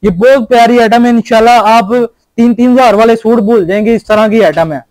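A young man selling cloth in a warehouse, persuasively pitching fabrics and repeating prices. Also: brisk, a little mellow, dry recording, rough recording. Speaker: cloth wholesaler. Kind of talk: sales pitch.

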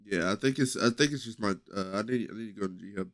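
A young man with a low voice chatting loosely to his stream audience from a headset in his bedroom, rambling with many fillers and restarts. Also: quick pace, fairly bright tone. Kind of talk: casual live-stream chat. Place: small bedroom streaming setup indoors.

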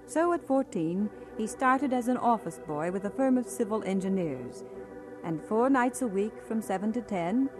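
A woman's voice: medium pitch, animated, normal speed. A woman narrating a radio drama in a scripted biographical style. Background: music.

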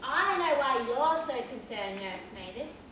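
A woman's voice: high-pitched, expressive, unhurried, a bit bright, a little hiss or hum. A woman playing a tart, accusing old lady from a care home in an amateur stage comedy, recorded at a distance in an echoey theatre. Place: large theatre stage, far camera.

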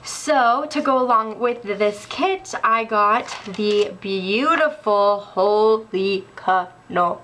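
A young woman showing sticker kits in a casual haul video, gushing and excitable, a little stuffy. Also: mid-range voice, takes it slowly, few pauses, a little hiss or hum, rough recording. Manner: gushing, excitable, laughs often. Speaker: planner sticker vlogger. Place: indoors at a wooden table.

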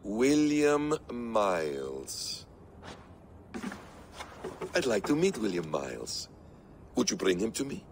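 An actor playing a calm, scheming ancient man who speaks in a measured, quietly menacing way, in a video game cutscene. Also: lowish voice, noisy background, full character voice. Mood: composed.

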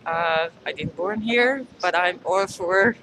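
Very slow, bright-voiced woman from Europe. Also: fairly low pitch, a little hiss or hum, rough recording. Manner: slow and halting. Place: outdoor square in front of city hall.

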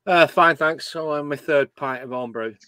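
A man from Lancashire, England joining a panel call from home, relaxed and chatty. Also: fairly high pitch, moderate pace, a crowd in the background. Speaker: panel guest. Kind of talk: casual chat.

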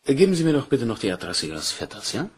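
An actor playing a polite, probing police inspector in a crime radio play, questioning in a measured, courteous way. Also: male voice, mid-range voice, very animated, slight background noise, lightly acted.

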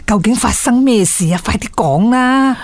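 A woman's voice: a little high, lively intonation, normal speed, no echo. An actress playing a loving, understanding older mother and grandmother in a radio drama. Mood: worried. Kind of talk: Cantonese radio drama acting.

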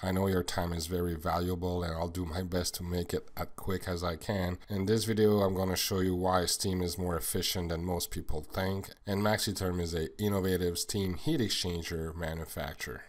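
A man's voice: low-pitched, flat delivery, moderate pace, few pauses. A man from Quebec with a French-Canadian accent, French his first language, earnestly explaining a slide presentation item by item. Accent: Québécois French. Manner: earnest, plain explanatory, lists items one by one. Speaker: sales manager.

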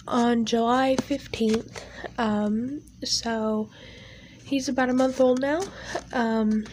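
A woman's voice: a little high, a little mellow, slight background noise. A young woman with a stuffy, nasal voice talks casually over her art, rambling with many fillers. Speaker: art channel creator. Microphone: home recording setup.

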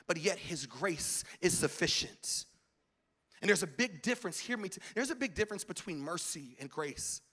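A man in his forties preaching a sermon on a church stage with a handheld mic, swinging between shouted calls to the congregation and hushed, intimate talk. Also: sits high. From United States. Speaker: pastor. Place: large church stage with audience.

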